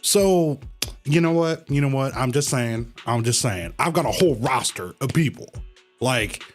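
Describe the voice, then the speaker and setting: A man's voice: mid-range voice, lively intonation, moderate pace, faint music behind. A man livestreaming a game with casual, playful reaction commentary, slangy and emphatically repeating his phrases.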